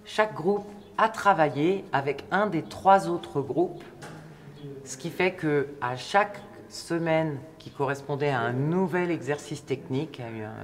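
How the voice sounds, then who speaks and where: A person explaining a project in an interview, in a measured and explanatory way. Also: mid-range voice, a little hiss or hum.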